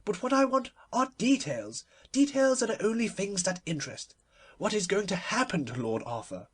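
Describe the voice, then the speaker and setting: A man's voice: sits high, sing-song, normal speed, slightly rough, pitched above the performer's own voice, exaggerated voice. A man voicing an imperious, chattering society hostess in an audiobook, emphatic and airily opinionated. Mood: eager, emphatic. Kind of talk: literary audiobook character voice.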